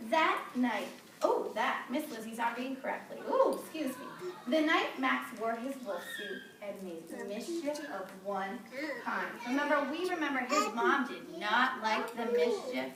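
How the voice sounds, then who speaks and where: A woman teacher reading a picture book aloud to young children, pausing to ask questions and gently correct in simple classroom phrases. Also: on the high side, big pitch swings, crowd noise. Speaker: preschool or early grade teacher. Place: classroom with children, recorded from a distance. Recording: echoey room, distant mic, noisy background.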